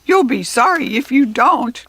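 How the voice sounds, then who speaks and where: Woman putting on a shrill, bossy storybook goblin. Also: lively intonation, a little slow, breathy edge, no room sound, heavily acted, rough recording. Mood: angry, squawking. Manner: loud, squawking, shrieking. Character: angry bossy male goblin, grumbling and squawking. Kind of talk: children's storybook character voice.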